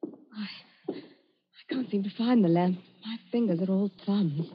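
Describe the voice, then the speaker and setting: An actress playing an earnest, anxious young colonial daughter in an old-time radio drama. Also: female voice, mid-range voice, measured pace, breathy edge. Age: young adult. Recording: muffled, slightly noisy old radio recording.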